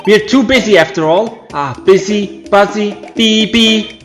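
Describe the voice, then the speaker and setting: Man voicing high-pitched, agitated bee guard. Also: unhurried. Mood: agitated, accusing. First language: not English. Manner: chanting buzzy words, accusatory. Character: agitated, busy bee guard swarm, suspicious of thieves. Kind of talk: amateur voiced game storyline. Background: loud game music.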